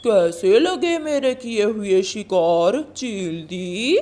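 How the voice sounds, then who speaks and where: A woman's voice: mid-range voice, lively intonation, very slow, dark tone, voice pushed down. A woman voicing a deceitful, boastful male crow in a cartoon, with a sly, gloating manner.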